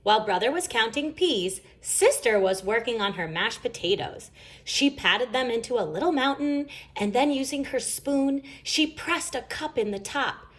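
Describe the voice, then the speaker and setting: A woman's voice: a little high, animated, normal speed, a bit bright. A woman reading a children's storybook aloud expressively, with dramatic emphasis. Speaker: story reader.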